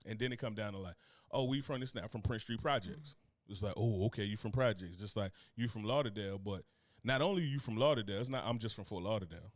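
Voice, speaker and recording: A man's voice: lowish voice, fast, no room sound, clean studio sound. Laid-back, profane man rambling on a podcast. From Newark, New Jersey. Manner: loose, profane, rambling with laughs. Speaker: podcast co-host. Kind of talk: casual chat.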